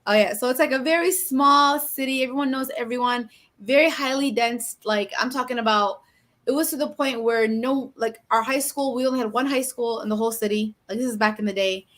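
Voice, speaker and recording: A woman's voice: a little high, a little fast, fairly bright tone. A woman from Michigan co-hosting a podcast, chatting casually and telling stories with emphasis. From Michigan, United States.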